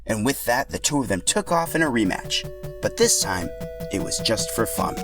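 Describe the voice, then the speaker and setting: A man narrating a scripted story for a podcast in an animated, expressive way. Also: medium pitch, normal speed, music in the background.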